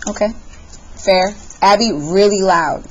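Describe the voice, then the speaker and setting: A woman teacher leading a classroom discussion, briskly calling on students by name. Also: mid-range voice, dull audio, slight background noise.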